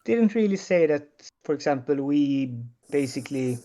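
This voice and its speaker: A man with a Swedish accent talking in a casual panel discussion, hesitant and full of pauses and fillers. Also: fairly high pitch, expressive, dark tone. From Sweden.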